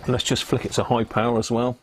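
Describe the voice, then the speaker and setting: A man's voice: mid-range voice, little pitch movement, fast. A man hosting a casual hands-on electronics livestream, muttering his way through each step as he works. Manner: muttering step by step, thinking aloud. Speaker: livestream host demonstrating radio repair. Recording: clear background noise with clicks.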